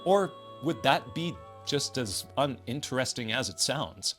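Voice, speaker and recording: A man's voice: mid-range voice, animated, unhurried, fairly bright tone, faint music behind. A man delivering scripted video game commentary in a sardonic, wry way with exaggerated emphasis.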